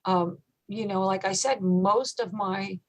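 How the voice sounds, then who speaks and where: A woman metal singer with a low voice, talking casually in an interview, thoughtful and relaxed with many fillers. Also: unhurried.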